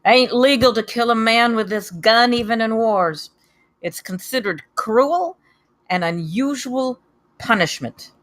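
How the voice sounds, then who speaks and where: A woman's voice: a little high, unhurried, dry recording, lightly acted. Woman as a swaggering, folksy backwoods hunter. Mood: boastful. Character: boastful, crude male backwoods hunter.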